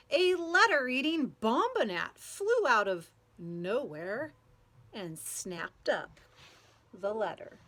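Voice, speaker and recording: A woman reading a children's picture book aloud in an expressive storytelling manner, drawing out words and playing with the sound words. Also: medium pitch. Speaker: storybook read-aloud reader.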